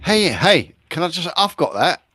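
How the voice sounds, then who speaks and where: A man's voice: on the high side, very animated, normal speed, bright, forceful tone, breathy edge. A man chatting casually as a guest on a live show. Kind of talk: casual chat.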